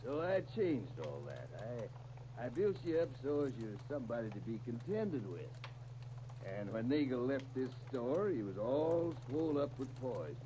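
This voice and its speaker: A man's voice: mid-range voice, sing-song, normal speed, close to an everyday voice. An actor playing a shrewd, fatherly older small-town storekeeper with a drawling rural American accent, in 1930s radio drama style. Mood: serious, coaxing. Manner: drawling homespun country talk. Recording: dull, noisy 1930s radio disc recording.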